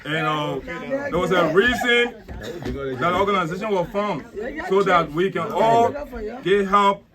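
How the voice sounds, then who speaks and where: A man giving a formal thank-you speech at an outdoor barbecue. His voice sits a little high for a man, and he moves at a measured pace, repeating words as he reaches for the next phrase. A busy crowd chatters all around him. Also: animated. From Liberia. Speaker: board secretary giving a speech.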